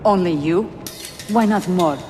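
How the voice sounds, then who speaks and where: An actor playing a wary, determined rebel fighter in a game cutscene, blunt and urgent. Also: male voice, high voice, measured pace. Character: wary, hot-headed male rebel fighter, gruffly determined. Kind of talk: video game cutscene acting. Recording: long echo, music behind.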